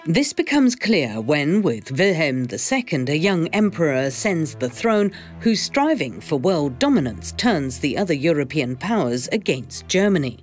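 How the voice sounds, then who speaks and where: Lively female documentary narrator. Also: low-pitched. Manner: measured, formal, explanatory. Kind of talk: scripted educational film narration. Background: faint music.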